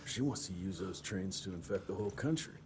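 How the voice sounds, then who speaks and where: An actor playing a cocky, hard-bitten Wild West vampire hunter in a video game, with a blunt, sardonic delivery. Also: male voice, deep voice, lively intonation, a little fast, music in the background. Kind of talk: video game cinematic dialogue.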